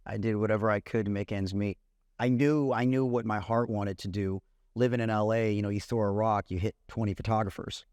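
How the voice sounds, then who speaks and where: A low-voiced man in his thirties chats as an interview guest, relaxed and easygoing. He often says "you know" and "man". The recording is dry and close, with no room sound. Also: fairly quick, dry recording. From Puerto Rican family, raised in California. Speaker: guest, celebrity content creator. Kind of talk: casual interview chat.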